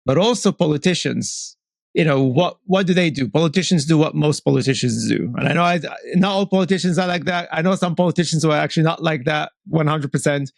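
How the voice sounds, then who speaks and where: A man hosting a casual podcast interview, blunt and animated. His voice is pitched fairly high with a gravelly fry, and he repeats words as he restarts his sentences. The sound is dry and close-miked. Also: fast, no echo. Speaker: podcast host.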